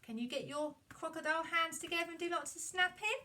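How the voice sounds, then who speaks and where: A woman telling a story to children in an animated puppet-show style. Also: extremely high pitch, fast, slight background noise. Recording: distant microphone.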